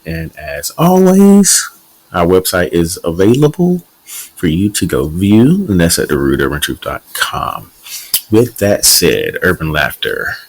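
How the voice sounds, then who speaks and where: A man's voice: sits low, poor audio. A man co-hosting a podcast, chatting casually and teasing his co-host in playful banter. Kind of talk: casual chat.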